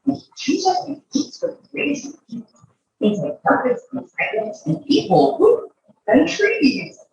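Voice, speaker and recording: A woman reading a picture book aloud to a child, expressive, drawing out words and adding chatty asides. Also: high voice, unhurried, very echoey, far from the mic, muffled recording, noisy background.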